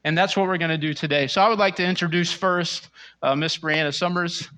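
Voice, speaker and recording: A male pastor with a fairly high, bright, forceful voice preaches to his congregation. He is earnest and exhorting, building his point through repeated rhetorical questions. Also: fairly even intonation, a little fast. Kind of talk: sermon addressing a congregation.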